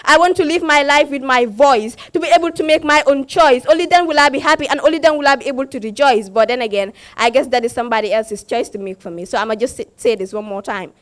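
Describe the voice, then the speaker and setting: Young woman, spoken word performer, high-pitched, dry recording, impassioned refrains. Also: quick pace, few pauses, fairly bright tone, dry recording. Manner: impassioned, with a repeated refrain and rising emphasis. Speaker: slam poet.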